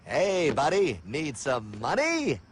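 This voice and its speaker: High-voiced pushy cartoon loan hustler. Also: male voice, huge pitch swings, slow, background noise, exaggerated voice. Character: pushy, slick male loan pusher. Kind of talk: animated cartoon voice acting.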